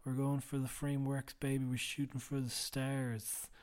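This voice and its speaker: A tired man hosting a podcast late at night, speaking low and quiet in a rambling, self-deprecating way. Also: flat delivery, moderate pace. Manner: rambling, self-deprecating, drops to a mutter at times. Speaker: podcast host. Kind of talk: casual solo housekeeping chat.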